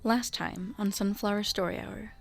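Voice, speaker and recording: A woman's voice: medium pitch, normal speed, vocal fry, soft background music, lightly acted. A woman narrating a scripted radio mystery drama in a storytelling manner.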